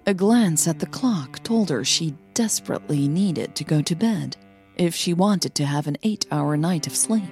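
A woman's voice: on the low side, animated, moderate pace, a little mellow. A woman hosting an audio fiction podcast, with music behind her voice. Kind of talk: scripted story narration and casual outro chat.

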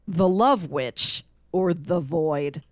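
A woman co-hosting a podcast in casual chat, speaking in an enthusiastic, expressive way. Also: lowish voice, extremely slow, no room sound, clean studio sound. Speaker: podcast co-host. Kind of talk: casual chat with read-out film pitches.

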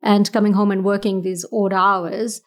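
A woman's voice: medium pitch, level tone, moderate pace. A woman from Fiji, a business founder, talking earnestly and reflectively in a casual interview, often restarting her sentences. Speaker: entrepreneur guest.

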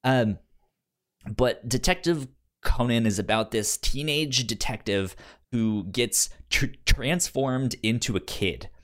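A man's voice: mid-range voice, slow pace. A man co-hosting a podcast, chatting casually as he gives recommendations, often stammering over word starts. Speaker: podcast co-host. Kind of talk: casual chat, giving recommendations.

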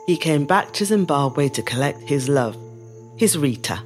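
Middle-aged female storyteller, low register, reflective and lyrical, deliberate phrasing, audio monologue with faint music underneath. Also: expressive. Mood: tender, reflective. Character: reflective middle-aged Zimbabwean-born woman telling her family's story. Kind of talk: scripted first-person storytelling monologue.

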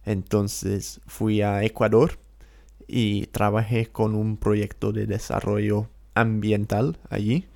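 London man, co-host, unhurried easygoing chat with a gravelly edge, English accent. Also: on the low side, level tone, darkish tone. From England, London. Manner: easygoing, hesitant fillers. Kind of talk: casual conversation.

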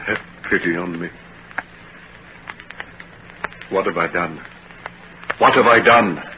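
An actor playing a guilt-stricken, horrified gentleman doctor in 1940s radio melodrama, pleading and halting on an old recording. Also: low-pitched, highs cut off, background noise. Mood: anguished pleading.

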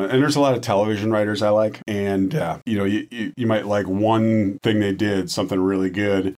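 A middle-aged man talking casually and self-deprecatingly as an interview guest, in a loose, rambling way. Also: fairly low pitch. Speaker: guest, audio drama writer and director. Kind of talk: casual interview talk about writing.

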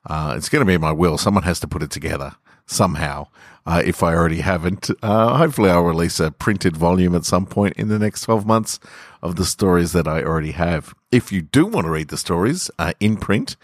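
Australian male podcast host and story reader. Low voice, very dry close-miked sound. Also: brisk, no echo. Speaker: podcast host and author reading own stories. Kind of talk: casual intro and measured literary story reading. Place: garage.

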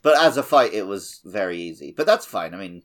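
A man's voice: low-pitched, big pitch swings, brisk, a bit bright. A man co-hosting a podcast in casual gaming chat, joking and laughing easily as he talks. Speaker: podcast co-host.

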